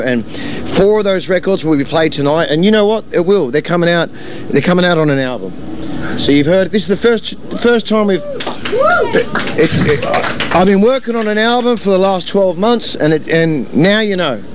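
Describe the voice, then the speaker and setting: Halting, candid man talking about his music. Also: on the high side, expressive, noisy background. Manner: halting, many restarts and fillers. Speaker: DJ and producer guest. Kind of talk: casual interview chat.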